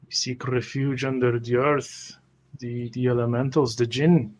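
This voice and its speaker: Man playing a monotone, brooding bearded adventurer. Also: medium pitch, lightly acted. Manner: muttering, halting, pensive.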